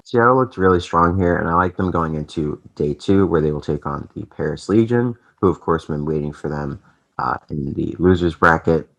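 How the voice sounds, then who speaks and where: Brief: young male esports vlog host, extremely low voice, rambling casual delivery, slightly off from allergies. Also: fairly bright tone. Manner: rambling, chatty, lots of fillers. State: voice affected by allergies.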